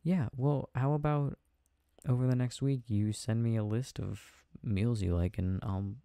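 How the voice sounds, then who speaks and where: A man playing an affectionate, tired boyfriend in an intimate ASMR roleplay, chatting softly and hesitantly with a playful, teasing manner. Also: mid-range voice, fairly even intonation, a little slow, creaky, clean tone, dry recording, clean studio sound. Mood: shy, affectionate. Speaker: audio roleplay performer.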